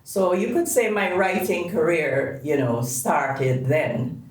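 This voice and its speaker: A man's voice: on the high side, animated, slow. A man from Guyana, a novelist and former reporter looking back on his career in an interview. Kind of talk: documentary interview. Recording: roomy, distant mic.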